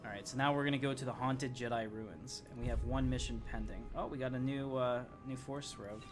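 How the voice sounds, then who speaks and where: Man, livestream gamer host, casual commentary, rambling thinking aloud, average pitch, clear music behind. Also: fairly even intonation, brisk. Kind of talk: casual live gameplay commentary, chatting to viewers. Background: game music.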